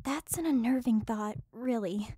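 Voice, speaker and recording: Audio drama role, woman acting: uneasy, thoughtful female adventurer. High voice, dry recording. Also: a little slow, warm tone, husky, no room sound.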